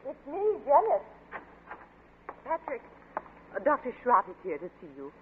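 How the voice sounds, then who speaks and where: Worried, high-voiced radio-drama wife. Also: female voice, very animated, normal speed, phone-quality sound. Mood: polite, fretful. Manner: anxious, fretful, proper. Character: worried, dutiful wife and mother of scientist. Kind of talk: 1940s radio drama acting. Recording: thin, noisy old radio recording.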